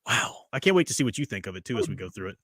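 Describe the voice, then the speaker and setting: A man's voice: fairly low pitch, very animated, very fast, fairly bright tone, no echo, studio quality. A man hosting a casual podcast chat, opinionated and chatty, laughing often. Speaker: podcast host.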